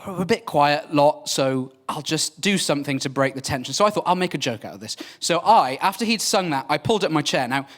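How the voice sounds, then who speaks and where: A man's voice: fairly high pitch, expressive, brisk, few pauses, fairly bright tone. A man in his twenties, raised in Wales with Welsh as his first language, telling a humorous story as a speaker at a church gathering. He talks in a chatty, self-mocking way with many fillers and calls his listeners folks. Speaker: preacher. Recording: echoey hall from far off, faint noise.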